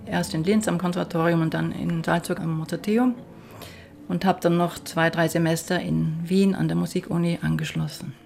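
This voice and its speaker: Casting: middle-aged Austrian-raised woman violinist, low gravelly voice, thoughtful and warm about her craft. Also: steady tone, normal speed. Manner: reflective, enthusiastic about her work. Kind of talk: documentary interview.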